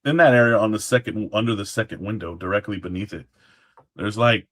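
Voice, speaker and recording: A man speaking as an interview guest, explaining history casually in halting phrases with trailing asides. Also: lowish voice, a bit bright. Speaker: guest, former Alamo guard.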